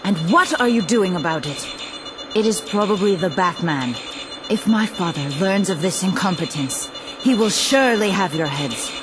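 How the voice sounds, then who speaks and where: A woman's voice: mid-range voice, expressive, moderate pace, close to an everyday voice. A woman playing a proud, imperious young villain's daughter in a video game, formal and dramatic. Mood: stern, commanding. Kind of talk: video game cutscene acting. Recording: loud music behind.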